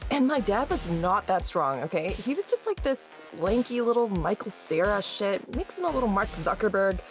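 A woman's voice: mid-range voice, big pitch swings, moderate pace, natural voice. A woman playing a defiant, foul-mouthed, incredulous young daughter in a horror-comedy audio drama. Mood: indignant recounting. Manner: sarcastic, incredulous. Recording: prominent music underneath.

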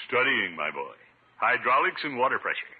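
An actor playing a pompous, bumbling middle-aged water commissioner who blusters and gets flustered in a 1950s radio sitcom. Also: male voice, medium pitch, sing-song, normal speed, husky. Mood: relaxed, self-satisfied. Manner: pompous, blustering, stammering when caught out. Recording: muffled narrowband 1950s radio recording.

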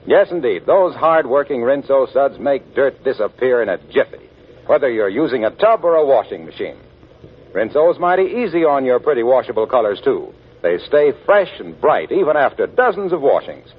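A 1940s radio announcer, a man with a rough-edged voice and highly animated swings of pitch. He delivers scripted copy as a salesman would, on a dull old broadcast recording.

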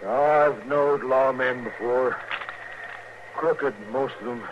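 An actor playing a sullen, distrustful cattle rustler in a 1950s radio western, with a drawling rural Western American accent and uneducated, folksy speech. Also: male voice, mid-range voice, slow, close to an everyday voice. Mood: sullen, puzzled. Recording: muffled, noisy 1950s radio recording.

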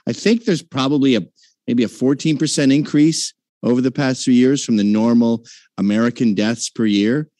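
A man's voice: mid-range voice, fairly even intonation, moderate pace, dark tone, no room sound, clean studio sound. A man arguing in a heated debate, combative and mocking, with frequent profanity.

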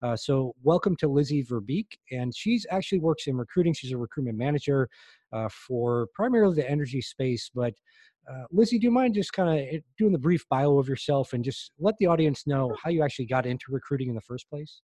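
A male podcast host asks long, looping questions in a friendly way. His voice sits at a mid pitch. The sound is dry and close. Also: animated, a little fast, warm tone, dry recording. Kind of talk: podcast interview.

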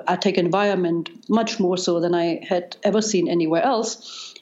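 A woman's voice: mid-range voice, darkish tone. German woman guest, 40s, reflective and hesitant, muffled audio. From East Germany. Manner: thoughtful, many ums and restarts. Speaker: guest, data scientist. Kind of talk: interview answers.

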